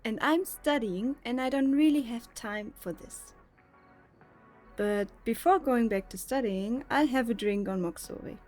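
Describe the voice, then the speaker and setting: An actress playing a bossy, teasing young student sister in an audio drama, with a know-it-all manner. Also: female voice, fairly high pitch, unhurried, darkish tone, faint music behind, close to an everyday voice. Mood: half-reluctant. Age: young adult.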